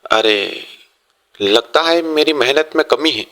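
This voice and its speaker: Man playing a slow-talking, earnest poor young man. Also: fairly high pitch, expressive, pushed and bright, voice pushed down, heavily acted. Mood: frustrated.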